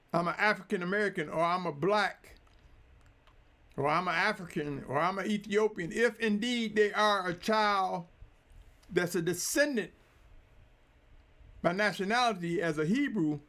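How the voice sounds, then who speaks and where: High-voiced man preaching emphatically. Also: fairly bright tone. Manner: deliberate, emphatic preaching with drawn-out phrases. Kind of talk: sermon.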